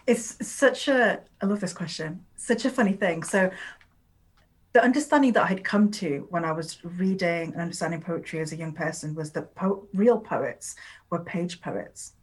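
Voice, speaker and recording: Rambling woman with a low voice. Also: moderate pace, breathy edge, low-quality recording. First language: Urdu. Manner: rambling and long-winded, many filler words. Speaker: poet, interview guest. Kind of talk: casual conversational interview.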